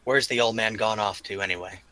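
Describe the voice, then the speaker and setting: A man as a tabletop fighter, lowish pitch, bright forceful tone, self-assured, plain-spoken, battle-hungry. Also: steady tone, a little fast, no room sound. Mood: confident, curious.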